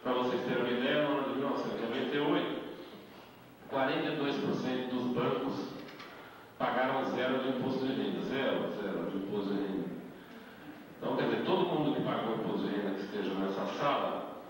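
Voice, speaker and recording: A man's voice: medium pitch, a little fast, slight background noise. Man, Brazilian Portuguese accent, middle-aged, explanatory lecture, handheld mic, distant echoey hall recording. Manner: explanatory, asks rhetorical questions and tags with "né?". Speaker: invited speaker. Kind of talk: lecture at a debate event. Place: indoor meeting hall. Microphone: handheld mic, filmed by a far camera. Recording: echoey hall audio from far off.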